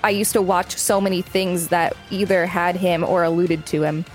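A woman's voice: lowish voice, fairly even intonation, normal speed, faint music behind, rough recording. A woman co-hosting a podcast, reading an article aloud and chatting casually. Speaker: podcast co-host.

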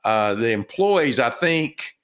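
A middle-aged man from Texas with a Texan accent, a guest telling his story in a halting way with many fillers and restarts. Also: medium pitch, big pitch swings, fairly bright tone. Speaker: guest, retired business owner and author. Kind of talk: casual interview talk.

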